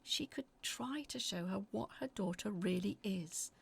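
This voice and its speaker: A woman plays an anxious storyteller in a horror audio drama. Her mid-pitched voice is husky, with plenty of creak, and she confides her fears in an uneasy, dramatic way. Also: a little slow, heavily acted. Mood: reflective, concerned. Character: anxious woman reading out her frightened letters about sinister events. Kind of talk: scripted first-person horror narration.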